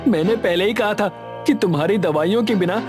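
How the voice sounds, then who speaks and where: A man's voice: high-pitched, a little fast, over music, voice pushed up, lightly acted. A man voicing an alarmed, accusing young man in a dramatized horror story, urgent and exclaiming. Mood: distressed questioning.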